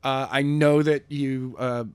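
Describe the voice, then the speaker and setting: Wry deadpan male podcast co-host. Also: mid-range voice, slow, smooth, no echo, clean studio sound. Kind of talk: casual chat.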